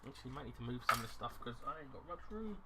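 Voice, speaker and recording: A man's voice: medium pitch, expressive, rapid-fire, heavy background noise. A man chatting casually in his own vlog, talking in a rambling, self-deprecating way with many restarts as he thinks aloud. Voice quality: plain, unremarkable voice. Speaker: YouTuber reviewing ration packs. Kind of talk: casual unscripted vlog chat.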